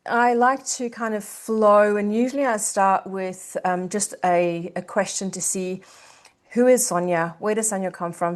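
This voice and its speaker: Effusive woman podcast host. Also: fairly low pitch. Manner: effusive, gushing with hesitant fillers. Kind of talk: casual podcast interview.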